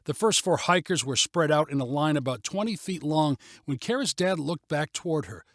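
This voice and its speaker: Lively male story narrator, fairly high voice. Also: normal speed, dry recording, clean studio sound. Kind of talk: scripted audiobook narration.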